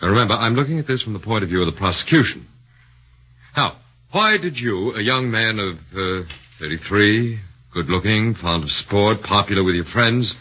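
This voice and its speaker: Defense lawyer, very low, bright, measured and probing; 1940s radio. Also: male voice, husky, exaggerated voice. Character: shrewd, methodical defense lawyer. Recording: muffled 1940s radio broadcast.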